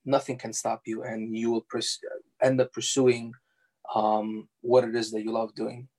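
A young man whose first language is Farsi, answering interview questions in a relaxed, halting way with many fillers and restarts. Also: medium pitch. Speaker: interview guest, architectural designer.

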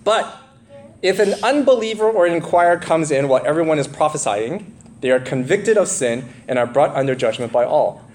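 Male preacher, slightly high voice with big pitch swings, explaining scripture and checking in with listeners, light noise behind. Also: lively intonation, brisk, a little mellow. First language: English. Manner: asks the congregation questions, frequent check-in tags like "right?" and "okay?". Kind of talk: expository sermon.

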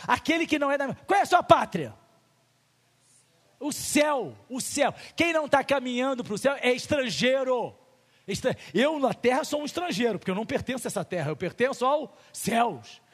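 A man's voice: extremely high pitch, slightly rough, big-room sound. A male pastor with a Brazilian Portuguese accent preaching a sermon in a church, full of rhetorical questions and acted-out little dialogues.

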